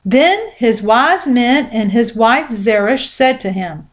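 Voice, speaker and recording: A woman reading scripture aloud as scripted narration, with measured, deliberate phrasing. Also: on the high side, expressive. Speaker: Bible reader.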